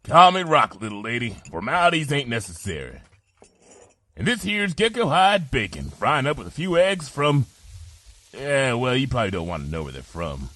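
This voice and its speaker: A man's voice: mid-range voice, very animated, moderate pace, sharp tone. A man playing a hearty, folksy pony cook in an audio drama, blustery and informal with a drawl. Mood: hearty, friendly. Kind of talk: audio drama character acting.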